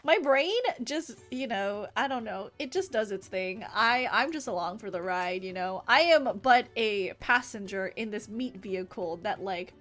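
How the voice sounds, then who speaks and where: A woman's voice: mid-range voice, animated, normal speed, few pauses, fairly bright tone. A woman live-streaming a game, chatting casually in a playful, rambling manner. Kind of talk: casual livestream chat, reading game dialogue aloud.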